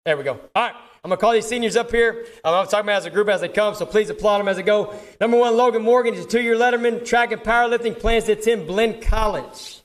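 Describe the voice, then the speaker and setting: A man's voice: high-pitched, quick pace, few pauses. A man speaking as a coach at an award ceremony, informally reading out a roster to the audience. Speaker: football coach presenting awards. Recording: live event, crowd noise, clear background noise.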